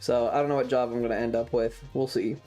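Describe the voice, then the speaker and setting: A young adult streamer talks off the cuff to a live chat. The voice sits at a medium pitch, and the delivery wanders, doubling back on phrases with hesitation. Music plays underneath. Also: fairly even intonation, quick pace, warm tone. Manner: rambling, hesitant, repeats phrases. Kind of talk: casual livestream chat.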